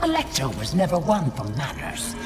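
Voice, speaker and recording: A woman's voice: deep voice, wildly swooping pitch. A woman playing a sadistic, taunting female Fury in a video game, with a mocking, menacing delivery. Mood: cold threat. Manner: mocking, menacing, drawn-out, slipping into breathy whispers. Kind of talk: dramatic video game villain acting. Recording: loud music over the voice. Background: loud music.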